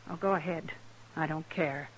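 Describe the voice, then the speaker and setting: A woman's voice: low-pitched, normal speed, low-quality recording. A woman acting a hard, hostile frontier wife in a 1950s radio western, with a rural American accent and a blunt, combative, folksy delivery. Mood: defiant, bitter.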